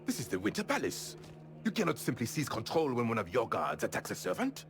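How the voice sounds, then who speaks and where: An actor playing a haughty, indignant palace official in a video game, formal and scolding. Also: male voice, mid-range voice, wildly swooping pitch, brisk, gravelly.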